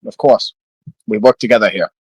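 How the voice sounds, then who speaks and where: A man's voice: on the low side, fairly quick, slightly rough, no echo, poor audio. Man roleplaying at a game, dry close sound. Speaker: player in a tabletop roleplaying game; voice actor. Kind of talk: in-character roleplay and casual game chat.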